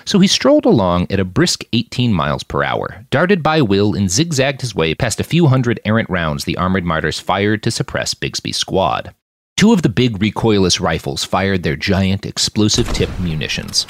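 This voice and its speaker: A man narrating fiction in an expressive audiobook style, acting out the dialogue as he reads. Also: low-pitched, moderate pace, dry recording, studio quality. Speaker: fiction podcast author and narrator.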